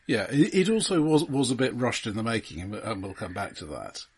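A man with a husky, slightly rough voice at a middle pitch. He speaks as a casual podcast co-host discussing films, dry and understated in his humour. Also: lively intonation, fairly quick, a little mellow.

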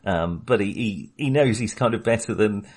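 A man's voice: on the low side, moderate pace, breathy edge. A man co-hosting a casual film chat, talking hesitantly with many restarts. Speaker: podcast co-host.

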